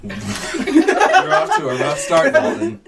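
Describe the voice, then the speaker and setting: This is a young man co-hosting a campus radio show, tossing out dry, teasing jokes in casual chat. His pitch moves a lot, rising and falling from line to line. The studio recording carries steady background noise. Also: measured pace.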